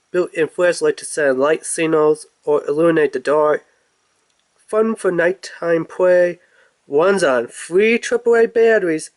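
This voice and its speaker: A man's voice: on the high side, no room sound. A man giving casual, off-the-cuff commentary as he reviews a toy in an unboxing video. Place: indoors at a table.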